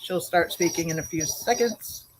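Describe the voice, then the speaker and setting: Brisk woman chatting on a call-in show. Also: on the low side, breathy edge, rough recording. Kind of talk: casual call-in chat.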